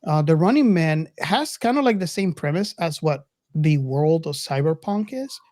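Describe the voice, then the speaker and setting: A man's voice: a little high, animated, moderate pace, a little mellow, no room sound, studio quality. A middle-aged man co-hosting a podcast in casual film discussion, reflective and often searching for his words. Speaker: podcast co-host.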